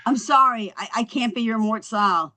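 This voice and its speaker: A woman's voice: fairly high pitch, normal speed, sharp tone. A woman at a script table read playing a flustered, protesting young housewife and mother, with an incredulous manner.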